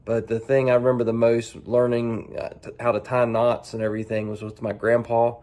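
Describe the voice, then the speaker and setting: A man speaking casually and haltingly as an angler answering a set of profile questions, restarting phrases as he goes. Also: medium pitch, monotone, mellow.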